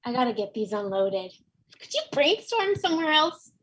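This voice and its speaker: A woman's voice: on the high side, big pitch swings, normal speed, background noise. A woman playing an exasperated, practical store employee in a comedy table read.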